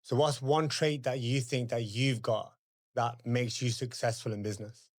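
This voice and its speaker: A man's voice: medium pitch, steady tone, normal speed. A British man hosting a podcast, chatting casually and informally, with slang, some swearing and short agreeing interjections. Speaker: podcast host.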